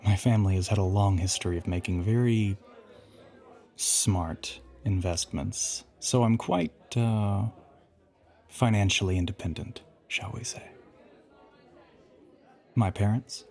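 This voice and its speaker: A man's voice: measured pace, long pauses, a little mellow. A man playing an ancient, suave male vampire in an intimate audio roleplay, teasing and smug, with formal, theatrical phrasing. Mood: polite charm. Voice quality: low, breathy half-whisper.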